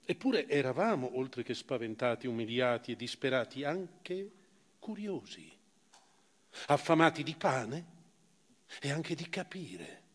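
A man giving a dramatic first-person literary reading on stage, theatrical, with emphatic rises and hushed phrase endings. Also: mid-range voice, very animated, unhurried. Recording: reverberant hall, live stage reading.

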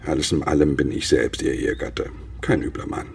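Jealous husband, low gravelly voice, ironic muttering, scornful, radio drama. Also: male voice, steady tone, brisk, heavily acted. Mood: self-reassuring, scornful. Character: jealous, brooding husband, an engineer, self-reassuring and scornful. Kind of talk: radio play acting.

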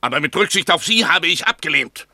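An actor playing a proud, easily offended count in a 1960s German radio play, speaking in a haughty, cutting manner. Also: male voice, a little high, expressive, brisk, pushed and bright, dry recording, clean studio sound, exaggerated voice. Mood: offended, threatening.

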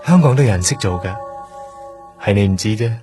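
A man's voice: on the low side, animated, a little fast, close to an everyday voice. An actor playing an odd, melancholy young man in a radio drama, explaining things earnestly and a little awkwardly in Hong Kong Cantonese. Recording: radio drama with loud music underneath. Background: music.

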